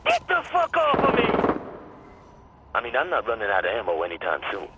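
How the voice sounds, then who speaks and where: A brash, cocky gang enforcer. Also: male voice, mid-range voice, wildly swooping pitch, a little fast, heavy background noise. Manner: brash, profane. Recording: game audio under loud gunfire.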